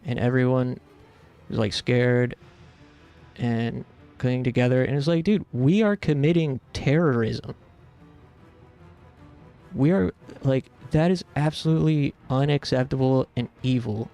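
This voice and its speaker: A man's voice: medium pitch, unhurried, pauses often, dark tone, clear, resonant tone, soft background music. A man in his thirties from the United States, chatting casually and earnestly with stream viewers, rambling with lots of fillers. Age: 30s.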